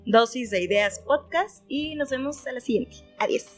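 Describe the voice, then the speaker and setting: A woman's voice: high voice, moderate pace, over loud music. A woman with a Mexican accent hosting a podcast, interviewing in casual conversation. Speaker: podcast host.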